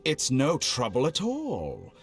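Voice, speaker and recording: A man playing a calm, sadistic scientist villain in a video game, smugly polite, measured and taunting. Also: medium pitch, heavily acted. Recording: music behind the voice.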